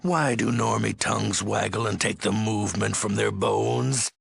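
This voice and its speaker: An actor voicing a menacing, weary ghoul overlord in a video game, raspy and gravelly, speaking in terse, commanding threats with odd broken syntax. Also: male voice, lowish voice, normal speed, heavily acted. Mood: scheming, threatening. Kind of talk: video game character voice acting.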